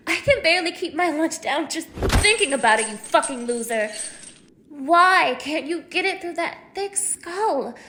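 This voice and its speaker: A woman's voice: sits high, expressive, unhurried, slight background noise, exaggerated voice. A young woman acting a cruel, contemptuous captor in an audio roleplay, taunting one listener in a mocking, degrading manner. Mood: furious disgust. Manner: mocking, degrading, swinging from hushed menace to shouting.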